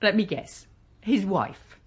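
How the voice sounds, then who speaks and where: A woman's voice: mid-range voice, lively intonation, slightly rough, heavily acted. An actress playing a bitter, defiant woman wronged years ago, in a naturalistic radio drama. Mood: defiant, sarcastic. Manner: impassioned, halting when hurt.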